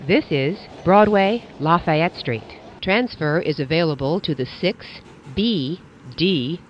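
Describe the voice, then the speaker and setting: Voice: medium pitch, a little hiss or hum. Automated-sounding transit announcer, lo-fi. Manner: flat, measured, evenly paced phrasing. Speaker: train announcer. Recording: dull lo-fi audio.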